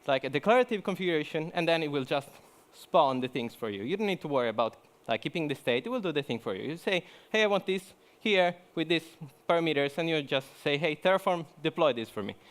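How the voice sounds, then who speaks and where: A young man speaks to a conference audience in a relaxed, joking way. His voice sits a little high, and he often restarts his sentences and fills pauses with "uh" and "um". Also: clear, resonant tone. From Italy. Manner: chatty, many fillers and restarts. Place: conference hall.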